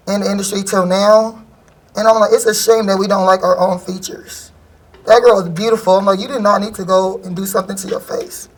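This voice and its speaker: A woman's voice: medium pitch, poor audio. A young woman speaking frankly and casually in a panel discussion, using slang.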